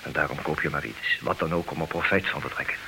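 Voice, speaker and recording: An actor playing a talkative betrayed husband in a Dutch comic radio play, theatrical and wry, wavering between agitation and resignation. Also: male voice, very deep voice, fast. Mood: resigned, wry. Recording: hissy old radio play recording.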